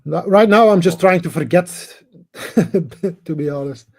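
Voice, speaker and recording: A man's voice: sits high, darkish tone, slightly rough. Hesitant Flemish-accented middle-aged man. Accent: Flemish Belgian. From Belgium, Dutch-speaking part. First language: Dutch. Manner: halting, hesitant, many restarts and fillers. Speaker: caller. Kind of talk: casual call-in chat.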